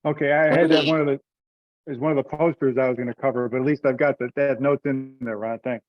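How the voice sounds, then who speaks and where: A man's voice: medium pitch, fairly even intonation, fast talker, no room sound, lo-fi sound. A man leading an informal meeting discussion over conference call audio.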